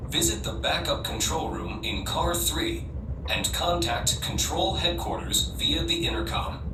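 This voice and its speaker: A courteous train announcer, played by a man for a video game, formal and measured. The voice comes echoing from some distance, like a public-address system over train noise. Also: mid-range voice, close to an everyday voice. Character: polite automated train announcer. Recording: echoey distant PA, noisy train ambience.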